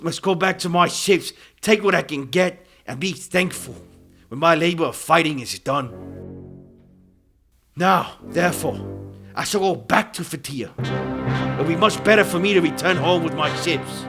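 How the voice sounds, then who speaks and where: A man's voice: on the high side, bright, forceful tone. A man playing a proud, aggrieved Greek warrior hero in a dramatized audiobook, declaiming in archaic, formal speech over music. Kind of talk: dramatized audiobook acting of epic verse.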